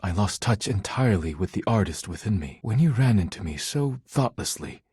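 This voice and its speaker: A man voicing an earnest, proud poet in a video game, speaking in formal, solemn, stilted diction with a husky voice. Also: fairly low pitch, expressive, moderate pace, darkish tone, no echo, clean studio sound. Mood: earnest, reflective. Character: earnest, proud male poet devoted to dishware, formal and intense. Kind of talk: video game character voice acting.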